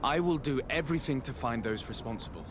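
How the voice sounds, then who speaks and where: An actor playing an earnest, courteous diplomat in a video game, speaking in a polite, formal and measured way. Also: male voice, medium pitch, lively intonation, noisy background, close to an everyday voice. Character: courteous, earnest male diplomat, composed investigator. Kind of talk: dramatic video game dialogue.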